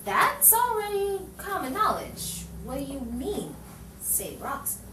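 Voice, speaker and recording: A sardonic newspaperwoman from a noir radio play, acted by a woman, tells her story theatrically. Her voice is on the high side and swings widely, heard from a distance in a roomy, echoing space. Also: wildly swooping pitch, measured pace, full character voice. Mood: probing, wry. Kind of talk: old-time radio noir acting and narration. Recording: roomy distant stage recording, faint noise.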